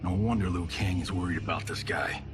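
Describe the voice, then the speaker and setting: A man's voice: sits low, fairly quick, natural voice. An actor playing a cocky male movie-star fighter in a video game cutscene, flippant and sarcastic, over game music. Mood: wry. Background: orchestral game score.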